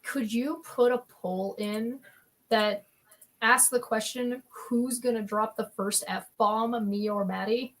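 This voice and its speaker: A slightly hoarse woman chatting on a stream, playful and teasing in her requests and quips. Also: on the high side, steady tone, slow pace, rough recording. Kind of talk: casual chat on a stream. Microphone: new microphone on a video call.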